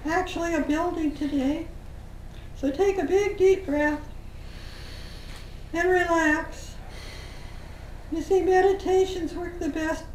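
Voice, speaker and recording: A woman, as a channeling medium, voicing a gentle, upbeat spirit guide who leads a soothing guided meditation for a group in a meeting room. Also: very high-pitched, a little hiss or hum, pitched above the performer's own voice. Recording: roomy room sound from a distant mic.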